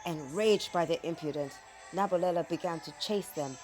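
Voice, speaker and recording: Woman, scripted children's story narration, lower pitch, dramatic and expressive, music behind. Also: moderate pace.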